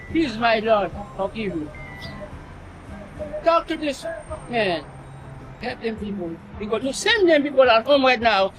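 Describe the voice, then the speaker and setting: A man's voice: extremely high pitch, a bit bright, rough recording. A middle-aged man with a Haitian accent chatting emphatically on the street in clipped, non-native phrasing. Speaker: food truck owner. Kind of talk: casual street chat. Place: outdoors by a street food truck.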